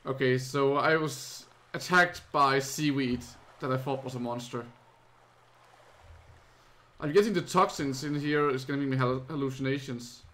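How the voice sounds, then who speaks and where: A man's voice: mid-range voice, normal speed, sharp tone. A man from Denmark with a Danish accent, giving casual, chatty let's play commentary as a streamer who gets easily spooked.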